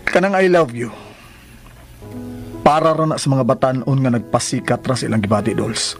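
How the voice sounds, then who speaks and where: An earnest middle-aged man played by a radio actor pleads his devotion in a solemn, emphatic way. He has a Cebuano Filipino accent and a mid-pitched voice, with a clear music bed behind him. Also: moderate pace, natural voice. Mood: solemn, devoted. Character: earnest, strict-looking middle-aged suitor proposing marriage. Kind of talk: Philippine radio drama acting.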